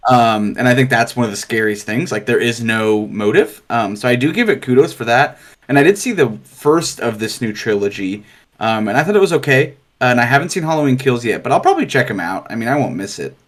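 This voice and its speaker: Dude on a movie podcast giving his opinions, normal pitch, casual back and forth chat. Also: lively intonation, fairly quick. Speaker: podcast co-host.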